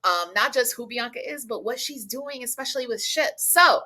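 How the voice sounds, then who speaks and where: Bright, upbeat woman moderating a panel. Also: on the high side, expressive, normal speed. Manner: upbeat, enthusiastic and playful. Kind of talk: hosting a panel discussion.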